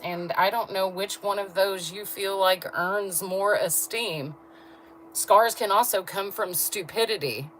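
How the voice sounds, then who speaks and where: A woman with a low voice chatting with viewers on a livestream, blunt and laughing often. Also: a bit bright, slight background noise, low-quality recording. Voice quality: low voice register. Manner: blunt, talks straight to chat, laughs often. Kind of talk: casual livestream chat with viewers.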